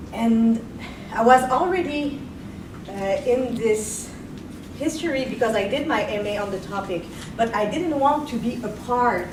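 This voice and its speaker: A young woman giving a history lecture, partly read from notes, measured and earnest, stopping now and then to rephrase. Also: mid-range voice. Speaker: guest lecturer. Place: lecture room. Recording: echoey room, far mic, background noise.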